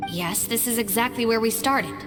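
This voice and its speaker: A woman's voice: fairly high pitch, moderate pace, natural voice. Woman as a dry, composed gang deputy. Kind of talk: video game cutscene acting. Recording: long reverb, music behind.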